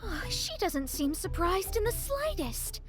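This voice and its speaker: Video game young girl, theatrical and excitable, very high, swooping, measured pace. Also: full character voice. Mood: delighted. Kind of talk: video game character voice acting. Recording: loud music behind.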